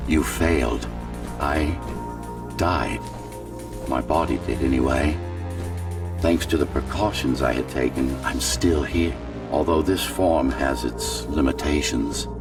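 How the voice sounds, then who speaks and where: Very deep, condescending digital mastermind. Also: male voice, exaggerated voice. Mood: dry, matter-of-fact. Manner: measured, condescending, authoritative. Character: digital consciousness of a tower's architect, calm commanding older man. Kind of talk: scripted video game voice acting. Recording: distant voice under loud electronic music.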